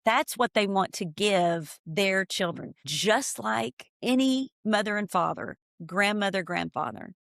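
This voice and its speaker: A woman's voice: medium pitch, measured pace, vocal fry, hardly any pauses, no echo, studio quality. A woman from East Tennessee, an ESL teacher, talking earnestly and with emphasis in an interview. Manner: earnest, animated, emphatic when making a point. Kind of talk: conversational interview.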